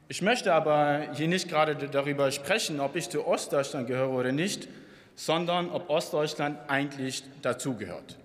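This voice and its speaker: Young man, from eastern Germany, declamatory parliament speech, slightly high voice, long hall reverb. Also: fairly bright tone. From Saxony, eastern Germany. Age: young adult. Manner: combative, impassioned, declamatory. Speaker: member of parliament. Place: large parliament chamber. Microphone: lectern microphone. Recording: reverberant chamber with audience murmur.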